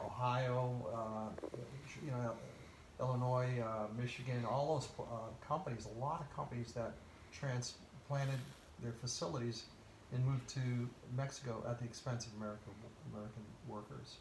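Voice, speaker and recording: A man from Portugal talking casually in a conversation, giving his views at a measured pace and pausing often with "uh". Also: medium pitch, steady tone, reverberant, noisy background. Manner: measured, lists places and reasons, with many "uh" pauses. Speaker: interviewee.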